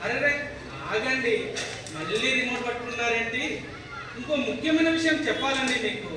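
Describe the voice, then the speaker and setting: Man playing a high-pitched, teasing TV presenter, echoey. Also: a little fast, a crowd in the background. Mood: bright, emphatic. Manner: emphatic, addresses viewers with rhetorical questions. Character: chatty TV awareness show presenter, teasing viewers, animated. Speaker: presenter in a stage play. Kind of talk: theatrical scripted presentation in a one-act play. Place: echoey hall, voice picked up from afar. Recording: very echoey, distant mic, noisy hall.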